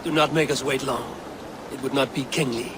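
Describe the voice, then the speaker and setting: An actor playing a seasoned Viking warrior who speaks in a measured, commanding way. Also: male voice, medium pitch, steady tone, noisy background, lightly acted. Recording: game cutscene with loud ambience.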